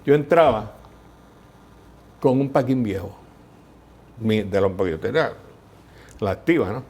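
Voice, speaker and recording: An older man with a Puerto Rican accent, a guest telling lively stories in an interview. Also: lowish voice, a little slow, slightly rough. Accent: Puerto Rican Spanish. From Puerto Rico. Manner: lively anecdotal storyteller, mimics voices in quotes. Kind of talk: storytelling interview.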